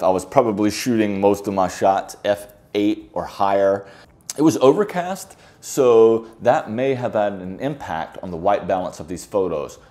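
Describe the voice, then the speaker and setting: Low-voiced man chatting casually to camera. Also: mellow. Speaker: film photography vlogger. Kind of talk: casual talk to camera, reviewing. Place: indoor living-room style office, seated on a sofa.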